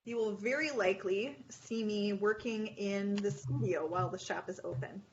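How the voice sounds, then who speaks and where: Chatty yarn shop owner on a muffled call. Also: female voice, mid-range voice, moderate pace, slight background noise. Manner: chatty, upbeat, laughs often. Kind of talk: casual video-call chat. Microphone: video call.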